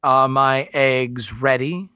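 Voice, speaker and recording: A man voicing a commanding female earth spirit who speaks in slow, drawn-out, menacing words. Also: medium pitch, fairly even intonation, bright, forceful tone, clean tone, no echo, studio quality.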